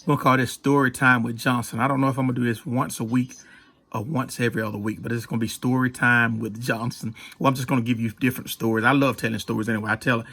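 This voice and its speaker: A middle-aged man with a Southern US accent and a raspy voice, telling stories as a pastor in an emphatic, repetitive, folksy preaching style. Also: medium pitch, little pitch movement, fast talker, pushed and bright. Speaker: pastor and storyteller. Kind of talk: animated storytelling sermon.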